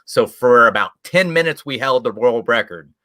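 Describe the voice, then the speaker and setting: A Midwestern American man chats on a live stream in a bright, forceful voice. He rambles through stories and restarts often. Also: medium pitch, animated, normal speed. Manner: rambling, chatty storytelling with frequent restarts and chuckles. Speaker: guest YouTube creator. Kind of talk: casual chat on a live stream.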